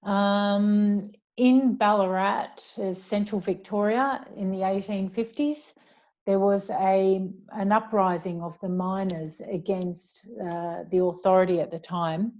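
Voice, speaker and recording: A woman's voice: mid-range voice, steady tone, slow, a little mellow, highs cut off. An Australian woman speaking as an author in a conversational interview, chatty and reflective as she explains at length. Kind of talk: conversational author talk, answering questions.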